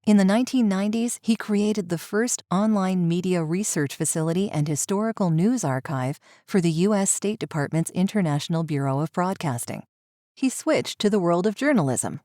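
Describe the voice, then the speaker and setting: Lower-pitched woman hosting a podcast. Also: expressive, normal speed, warm tone, dry recording, clean studio sound. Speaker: podcast host and interviewer. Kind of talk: scripted podcast introduction, then interview.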